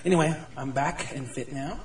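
A man's voice: mid-range voice, normal speed, far from the mic, rough recording. A man from California leaves a casual voice message reviewing an episode. He halts often with ums and uhs. He sounds set back from the microphone. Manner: hesitant, many ums and uhs. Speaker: listener sending an audio message.

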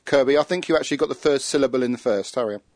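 A man's voice: mid-range voice, rapid-fire, darkish tone, no room sound, studio quality. Rambling man chatting casually. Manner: rambling, with restarts and fillers. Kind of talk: casual chat reviewing a TV episode.

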